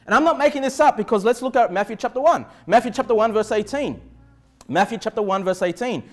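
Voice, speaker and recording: A middle-aged man with an Australian accent delivers a sermon in a high-pitched voice. He speaks as a pastor, emphatic and conversational, frequently tagging his points to check listeners are following. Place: indoor church pulpit in a small room. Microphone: clip-on lapel mic.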